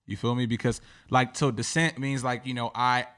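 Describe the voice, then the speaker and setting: A man's voice: medium pitch, level tone, normal speed, a bit bright, smooth. Laid-back man explaining his view. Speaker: podcast co-host. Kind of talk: casual chat with callers.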